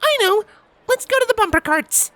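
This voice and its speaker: Man putting on an eager, very high-pitched girl pony. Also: big pitch swings, moderate pace, pushed and bright, no room sound, exaggerated voice. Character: eager, caring young female pony, apologetic and earnest.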